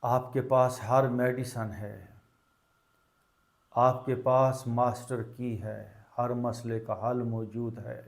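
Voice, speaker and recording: A man with a medium-pitched voice leads a guided meditation. He speaks very slowly and deliberately, in short calming phrases. Long pauses separate his instructions, as a teacher would leave them for students to follow.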